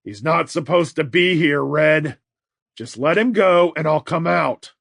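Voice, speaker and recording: A man voicing an older grandfather who speaks in a calm, earnest way. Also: a little high, unhurried, gravelly, dry recording, clean studio sound, heavily acted. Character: older grandfather, steady and pleading.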